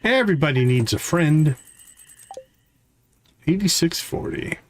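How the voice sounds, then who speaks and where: A man's voice: on the high side, lively intonation, a little slow. A man giving casual live gameplay commentary as a streamer, thinking aloud as he goes. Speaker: game streamer, host.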